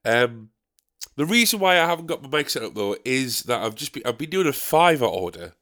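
A man hosting his own podcast, getting over a cold, sounding nasal and gravelly, rambling and swearing as he mocks himself. He records at his desk in a dark bedroom. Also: mid-range voice, sing-song, fairly quick. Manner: sweary, rambling, self-mocking. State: recovering from a cold. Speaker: podcast host. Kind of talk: casual solo podcast chat. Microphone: desk microphone, badly set up.

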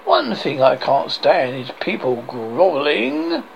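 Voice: mid-range voice, wildly swooping pitch, takes it slowly, creaky, a little hiss or hum, pitched below the performer's own voice, full character voice, low-quality recording. A person voicing an irritable, commanding male God who sounds exasperated and imperious, in comic script reading.

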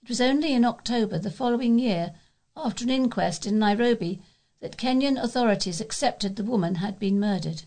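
Higher-pitched woman reading news. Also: brisk, dark tone. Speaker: volunteer newsreader.

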